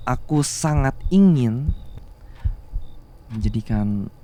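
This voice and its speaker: Slow-talking devoted young boyfriend, acted by a man. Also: medium pitch, expressive. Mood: tender, earnest. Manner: soft, halting, tender, with murmured hums. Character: devoted, bashful, fussing younger boyfriend in love with boss. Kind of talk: intimate ASMR romance roleplay.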